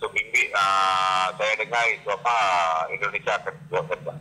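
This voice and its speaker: A man talking informally in halting, repetitive phrases on a rough recorded phone call. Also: on the low side, little pitch movement, sharp tone.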